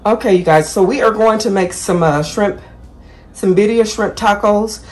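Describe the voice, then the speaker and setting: A woman talks viewers through a recipe in her home kitchen. Her voice sits at an ordinary middle pitch, and her tone is relaxed and chatty, with plenty of self-corrections. Faint music plays underneath. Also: moderate pace. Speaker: cooking channel host. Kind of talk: casual cooking tutorial.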